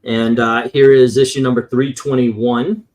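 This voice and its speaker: A man with a mid-pitched voice talks casually as a host showing off a collection. He rambles in a loose, conversational way and swears now and then. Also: level tone, a little slow, darkish tone. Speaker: comic book collector and show host. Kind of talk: casual show-and-tell commentary on vintage comics.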